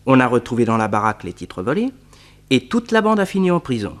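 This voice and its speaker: An actor playing a detective's loyal, eager young nephew who tells the story in a radio serial. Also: male voice, medium pitch, big pitch swings, fast talker, in a large hall, natural voice. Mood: satisfied.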